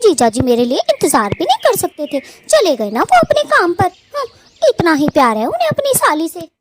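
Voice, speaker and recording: Woman voicing a high, cheeky young cartoon girl bird. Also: wildly swooping pitch, normal speed, full character voice. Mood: mock sulky. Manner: pert, teasing, mock-sulky. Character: playful, cheeky young female bird, teasing younger sister. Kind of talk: animated cartoon story dialogue.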